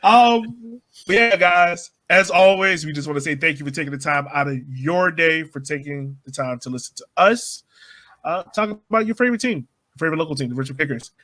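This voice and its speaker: Male podcast host, informal and slangy, with a bright, fairly high voice for casual sports chat. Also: a little fast, no room sound.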